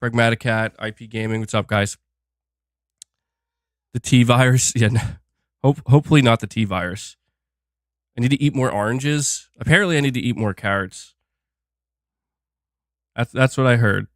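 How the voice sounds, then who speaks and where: A man's voice: mid-range voice, normal speed, pauses often, dry recording, clean studio sound. Sick, slightly nasal male streamer. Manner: rambling, with fillers and restarts. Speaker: gaming channel host. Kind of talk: casual livestream chat.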